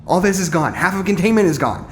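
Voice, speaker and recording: A rather high male voice with very lively pitch gives us a frustrated, guarded psychologist. The delivery is blunt, exasperated audio drama dialogue, with faint noise in the background. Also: brisk. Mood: agitated. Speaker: actor in an audio drama podcast. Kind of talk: naturalistic audio drama acting.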